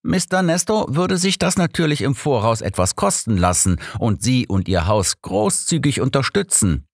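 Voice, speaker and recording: A man voicing a sardonic, sharp-minded elderly detective in an audiobook, pointed and dryly persuasive. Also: medium pitch, very animated, normal speed, gravelly, slightly rough, no echo, clean studio sound, pitched above the performer's own voice, full character voice. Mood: smoothly polite. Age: older.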